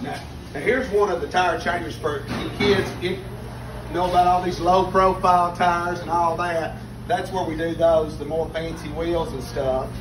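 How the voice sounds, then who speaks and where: A man's voice: fairly high pitch, fairly even intonation, moderate pace. A middle-aged man, a tire shop owner giving a folksy walking tour, recorded by a distant camera in a repair shop. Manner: folksy, encouraging, plain-spoken. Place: echoey auto repair shop. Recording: roomy, distant, noisy shop. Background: shop ambience and vehicles.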